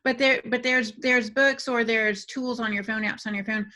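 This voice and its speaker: Bright-voiced woman in casual podcast talk. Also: a little high, steady tone, normal speed. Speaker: podcast panelist, writer. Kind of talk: casual advice-giving discussion.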